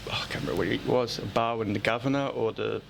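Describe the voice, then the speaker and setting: A man's voice: on the low side, fairly even intonation, quick pace, gravelly, slight background noise. A man with an Australian accent chatting casually as a podcast host, blunt and joking as he rambles along. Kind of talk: casual chat.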